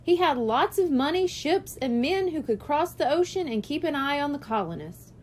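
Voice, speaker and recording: A woman plays a cheerful male first-grade teacher from a children's audiobook, pitched high with lively swings. The teacher explains things patiently and likes to tease playfully. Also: moderate pace, slight background noise. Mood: patient, explaining. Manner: explanatory, teasing. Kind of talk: children's audiobook character reading.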